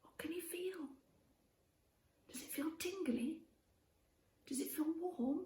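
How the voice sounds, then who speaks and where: A woman reading a children's story aloud in an expressive way, speaking directly to young listeners and prompting them. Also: very high-pitched, slow. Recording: roomy echo with faint background noise.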